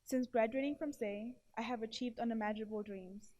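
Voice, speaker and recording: A woman's voice: a little high, steady tone, a little fast, darkish tone, low-quality recording. A woman in her early twenties with a stutter, earnestly delivering a prepared speech to an audience. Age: early 20s. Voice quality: stutter with blocks and repeated syllables. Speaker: award presenter giving a testimonial.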